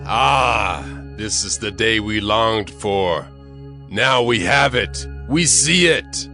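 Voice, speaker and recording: An enemy exulting over a conquered city. His voice is fairly low, hard and bright, and he takes his time in triumph. It is an audio Bible drama over soft music. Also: animated, slow, full character voice. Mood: gloating triumph. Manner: gloating, triumphant.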